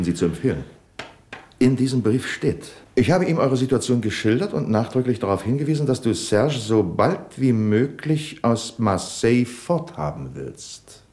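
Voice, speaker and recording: A man playing a calm, probing police commissioner in a 1970s radio drama, with measured, pointed questions. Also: on the low side, close to an everyday voice.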